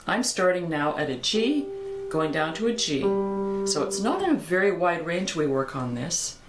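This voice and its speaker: An older woman with a low voice, teaching a singing lesson in a calm, encouraging way. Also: roomy sound, rough recording. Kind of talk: vocal lesson with sung exercises.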